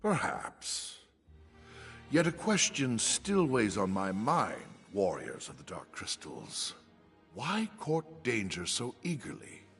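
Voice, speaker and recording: A man's voice: on the low side, huge pitch swings, takes it slowly, gravelly, a bit bright, exaggerated voice. A man playing a solemn, wary king in a formal, regal manner for a video game cutscene. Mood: grave, questioning. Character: solemn, wary male king entrusting warriors. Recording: long hall reverb, music behind.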